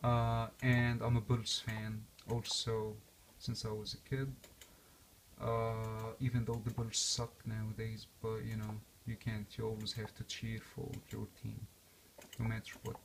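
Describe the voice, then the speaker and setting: Gravelly-voiced man rambling casually. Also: on the low side, completely flat delivery, measured pace, slight background noise. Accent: non-native English accent. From born and raised outside the US. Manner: rambling with many fillers and restarts. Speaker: vlogger reviewing a game console. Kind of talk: casual unscripted walkthrough.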